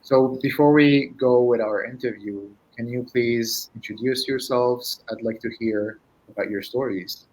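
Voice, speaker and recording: A man's voice: mid-range voice, steady tone, a little slow, few pauses, darkish tone, poor audio. A man hosting a live interview for a refugee support group, upbeat and promotional.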